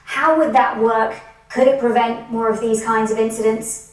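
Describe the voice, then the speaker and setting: A very echoey recording of a woman news anchor, sounding distant from the microphone. Her voice sits fairly high. She speaks with formal broadcast polish, as in bulletins and live interviews. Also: fairly even intonation, normal speed.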